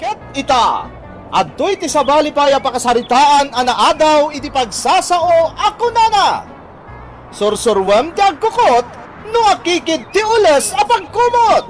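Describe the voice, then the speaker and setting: A woman introduces a radio drama in a very high, sweeping voice. She is declamatory and emphatic, speaking slowly as an announcer. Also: very animated, soft background music.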